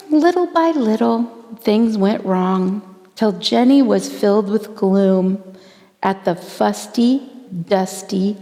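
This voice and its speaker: A woman reading a rhyming children's story aloud in an expressive, theatrical way, drawing out words for emphasis. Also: mid-range voice. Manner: theatrical, deliberate phrasing with drawn-out emphasis for children. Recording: long hall-like reverb.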